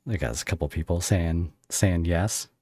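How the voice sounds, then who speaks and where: A man's voice: sits low, normal speed, mellow, breathy edge, dry recording, studio quality. A man hosting a casual livestream podcast interview, effusive and given to rambling as he talks with his guest.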